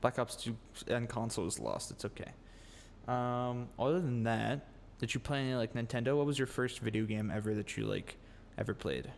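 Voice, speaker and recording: A man's voice: mid-range voice, normal speed, creaky. A man hosting a casual podcast, joking and teasing his guest and swearing freely. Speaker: podcast host. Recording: long room reverb, faint noise.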